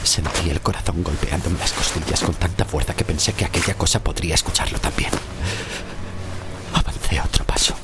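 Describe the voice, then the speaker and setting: An actor from Spain playing a frightened shipwrecked sailor of centuries past, telling his horror tale gravely and haltingly in an audio drama. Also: deep voice, fairly even intonation, very noisy, lightly acted. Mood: tense, fearful. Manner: grave, halting, suspenseful delivery. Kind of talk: dramatic first-person horror audio-fiction narration.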